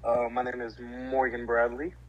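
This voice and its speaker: A man's voice: medium pitch, monotone, moderate pace, muffled recording. A man from Georgia answering casual interview questions in a laid-back, joking way. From Decatur, Georgia. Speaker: interviewee, boyfriend.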